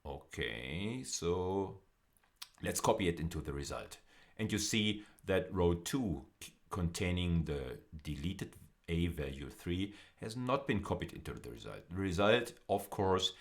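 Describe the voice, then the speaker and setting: A man lecturing in an explanatory style, speaking deliberately and often repeating or rephrasing phrases for emphasis. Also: low voice, animated. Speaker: university lecturer.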